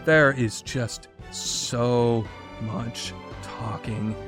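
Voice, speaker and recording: A man's voice: medium pitch, fairly even intonation, extremely slow, fairly bright tone, faint music behind. A man giving an opinionated video game review in an exasperated, sarcastic and emphatic way, with casual phrasing.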